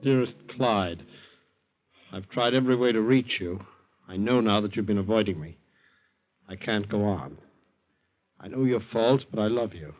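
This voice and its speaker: Male actor as troubled american son in old radio play, lowish voice, earnest, very tinny sound. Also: normal speed, long pauses, soft background music, lightly acted. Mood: somber reading. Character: weak-willed, troubled district attorney's son. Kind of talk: 1940s radio acting.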